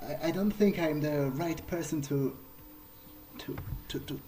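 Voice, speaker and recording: A man acting as a hesitant, apologetic male vampire with a mellow, slightly high voice. He stammers and pleads in improvised game roleplay, with faint noise behind him. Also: unhurried, close to an everyday voice. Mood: uneasy, apologetic. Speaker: player acting in character.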